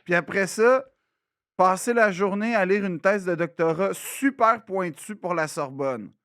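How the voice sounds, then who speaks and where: A man with a Québécois French accent, telling a story in a casual podcast chat, animated and full of restarts. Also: high voice, measured pace, clean tone, no echo. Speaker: podcast co-host. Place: recording studio.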